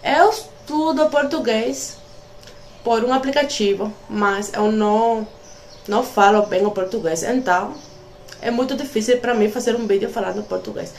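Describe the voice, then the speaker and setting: A woman's voice: on the high side, normal speed, a little mellow, slight background noise. Cuban woman vlogging in learner's Portuguese. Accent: Cuban Spanish. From Cuba. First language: Spanish. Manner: careful learner's Portuguese with Spanish slips. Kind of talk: casual vlog to camera. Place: small room at home, indoors.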